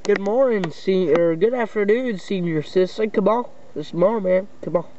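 A man with a high voice calls out on CB radio in short, halting phrases. The sound is dull, with clear static and clicks behind him. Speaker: CB radio operator, video maker. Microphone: camera mic beside a CB radio set.

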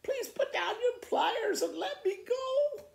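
A man's voice: very high-pitched, big pitch swings, takes it slowly, gravelly, pitched above the performer's own voice, full character voice. A man voicing a pitiful crocodile with a toothache, wailing and pleading tearfully. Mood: pained pleading. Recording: roomy indoor echo.

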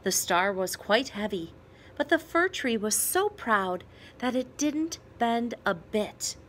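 A woman reads a children's story aloud, slowly and with wide melodic swings. Her voice sits a little high, and she leaves dramatic pauses for emphasis. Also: big pitch swings, fairly bright tone, slight background noise. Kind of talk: children's picture book read-aloud.

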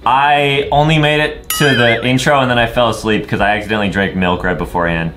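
A man's voice: mid-range voice, fairly even intonation, fast. A man, giving theatrical, exclamatory comedic reactions as a co-host. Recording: music under the voice. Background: music.

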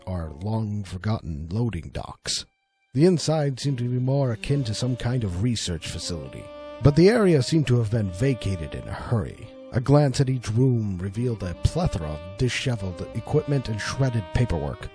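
A man's voice: lowish voice, warm tone. A man reading scripted, dramatic story narration as the narrator.

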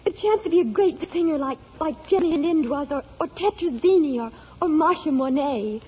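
Upset young woman, very high voice. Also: animated, moderate pace. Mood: tearful pleading. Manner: earnest, tearful, high-strung. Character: young aspiring opera singer, upset, ambitious. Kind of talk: 1940s radio acting. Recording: muffled 1940s radio recording.

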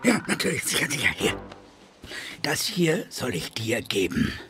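Talking beaver, audio drama: secretive, friendly, hushed conspirator with very lively intonation. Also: medium pitch, a little slow, a crowd in the background. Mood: hurried, secretive. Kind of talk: German audio drama acting. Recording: music under the voice.

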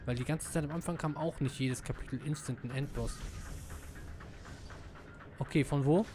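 A man giving casual live gameplay commentary, excitable and slangy, dropping in English gamer words. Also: a little high, moderate pace, a little hiss or hum. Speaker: let's player.

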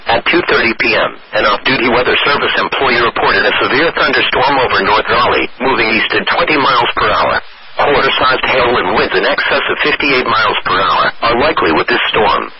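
Automated male weather radio voice, formal and impersonal, muffled lo-fi broadcast with heavy noise. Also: mid-range voice. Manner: synthetic, measured, impersonal. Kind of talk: formal emergency weather bulletin.

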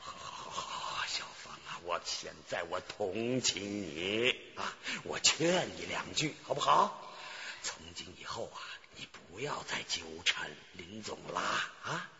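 A man's voice: lowish voice, very animated, measured pace, few pauses, pushed and bright, exaggerated voice. An actor playing a scheming, hot-headed henchman in a radio drama, coaxing and emphatic in a conspiratorial way. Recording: muffled broadcast with music behind.

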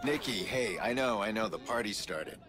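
An actor playing a guarded, apologetic brother in a game, speaking in a placating, evasive way on a call. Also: male voice, on the low side, lively intonation, moderate pace, music in the background, natural voice. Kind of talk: game phone-call dialogue.

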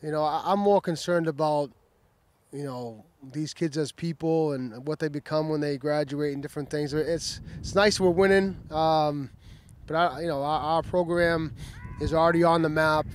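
A man in his forties, a high school football coach, giving a matter-of-fact, casual postgame interview outdoors into a handheld microphone. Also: steady tone, moderate pace, a little hiss or hum. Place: outdoor football stadium field at night. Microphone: handheld microphone held at chest.

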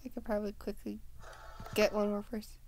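Young adult woman for let's-play commentary; chatty, mutters to herself, mid-pitched voice, light background music. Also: little pitch movement, fairly quick, rough recording. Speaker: gaming video creator.

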